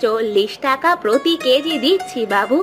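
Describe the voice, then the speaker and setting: A woman voicing a kindly, humble elderly mother and market seller in a cartoon, with a gentle, patient, drawn-out way of talking. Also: high voice, animated, over music. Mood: patient, firm. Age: older. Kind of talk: Bengali cartoon voice acting.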